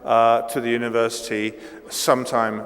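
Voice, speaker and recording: A man's voice: medium pitch, flat delivery, moderate pace, fairly bright tone. A man in his sixties, a native Italian speaker from Italy, giving an academic keynote lecture with many ums and restarts. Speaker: guest lecturer. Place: lecture hall. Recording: long reverb in a lecture hall.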